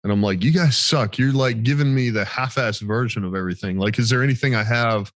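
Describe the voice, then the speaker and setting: A man's voice: medium pitch, a little fast, dry recording, clean studio sound. An American man hosting a veterans' podcast in casual, sweary, storytelling chat. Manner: informal, sweary. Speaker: podcast host, military veteran.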